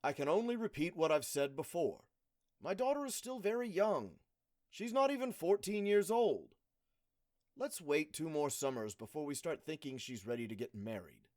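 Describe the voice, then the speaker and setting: A man playing an older, courteous noble lord and protective father in a measured, declamatory audio drama style. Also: a little high, sing-song, darkish tone. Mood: measured, cautious. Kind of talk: audio drama acting of modernized Shakespeare.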